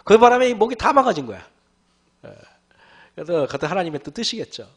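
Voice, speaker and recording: Hoarse, high-pitched male pastor preaching. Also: sing-song, fairly quick, a bit bright. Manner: earnest preaching, often calling out to the listeners and asking rhetorical questions. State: hoarse, often clearing his throat. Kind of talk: sermon to a congregation.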